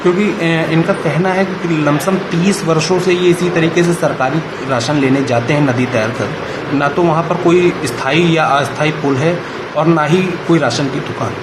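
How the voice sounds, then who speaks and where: A man's voice: fairly high pitch, moderate pace. A man working as a reporter, laying out a question to an official. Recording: echoey, noisy room recording.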